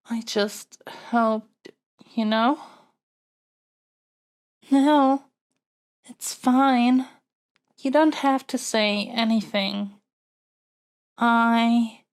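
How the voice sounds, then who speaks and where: A woman's voice: a little high, darkish tone. A woman playing an earnest, flustered young princess in an intimate audio roleplay, stammering and hesitating as she pleads. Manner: halting, hesitant, stammering delivery. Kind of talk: audio roleplay acting, intimate monologue to the listener.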